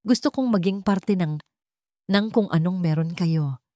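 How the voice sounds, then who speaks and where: A woman's voice: fairly low pitch, a little slow, darkish tone, no room sound, clean studio sound, natural voice. A woman voicing a wealthy young woman CEO speaking earnestly, near tears. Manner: earnest, tearful.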